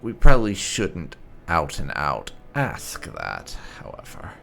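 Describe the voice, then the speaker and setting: A man's voice: extremely low pitch, wildly swooping pitch, takes it slowly, gravelly, bright, forceful tone, soft background music. A man hosting a roleplay game video, giving commentary and speaking in character in a wry, musing way. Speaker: gaming video host.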